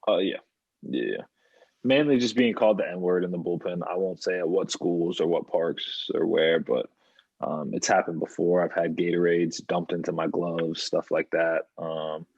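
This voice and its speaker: Deep voice young guy from new york, slow and thoughtful, kinda muffled dry recording. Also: darkish tone, husky, dry recording. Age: young adult. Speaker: guest, professional baseball pitcher. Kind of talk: podcast conversation.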